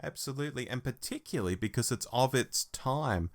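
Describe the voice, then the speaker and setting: A man's voice: medium pitch, animated, fairly quick. A man hosting a podcast, talking casually as he gives his review in a discussion. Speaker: podcast host.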